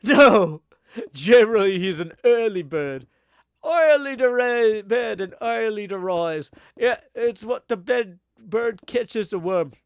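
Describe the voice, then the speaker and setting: Man putting on a loud, folksy old British landlord. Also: sits high, slow pace, smooth, dry recording, clean studio sound, pitched above the performer's own voice. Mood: amused, folksy. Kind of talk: live reading of a classic novel.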